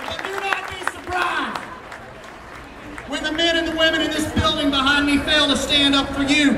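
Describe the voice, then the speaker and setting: An American man delivers impassioned political oratory as a school superintendent at an outdoor rally, declaiming emphatically and projecting loudly with dramatic phrasing. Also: very high-pitched. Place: outdoors on capitol steps before a large crowd. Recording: echoey outdoor PA, distant mic, noisy crowd. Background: loud crowd and ambient noise.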